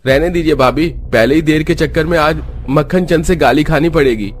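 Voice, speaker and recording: High-pitched young village labourer, acted by a man. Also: moderate pace, a little hiss or hum, natural voice. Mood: irritated. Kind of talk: cartoon story dialogue.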